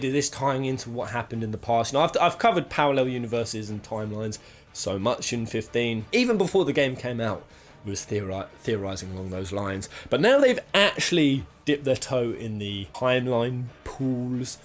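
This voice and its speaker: A man's voice: mid-range voice, lively intonation, moderate pace. A British man giving casual, jokey top-ten video game commentary, rambling and thinking aloud as he goes. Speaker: video game countdown host.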